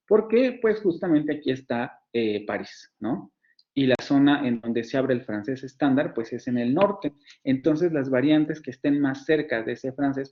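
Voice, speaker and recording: A man with a Mexican Spanish accent, a university phonetics professor giving an online lecture, explaining with frequent fillers, restarts and rhetorical questions. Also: mid-range voice. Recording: dull lo-fi sound.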